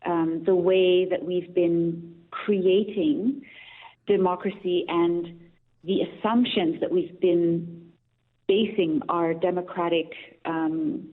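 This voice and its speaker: A woman's voice: fairly low pitch, fairly even intonation, takes it slowly. A woman from Austria, a radio interview guest calling in, speaking in a reflective, earnest way with many restarts. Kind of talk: radio interview, thoughtful opinion. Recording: tinny phone line.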